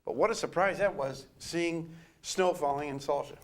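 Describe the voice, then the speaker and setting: A man presenting a local TV weather forecast, hoarse from a cold, with a loose, chatty delivery full of fillers and restarts. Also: fairly high pitch, moderate pace. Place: TV studio.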